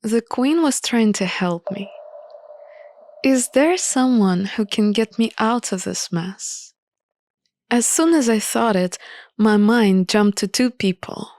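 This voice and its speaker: Slow-paced woman narrating a visual novel. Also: on the high side, darkish tone, slight background noise. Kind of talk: scripted first-person story narration.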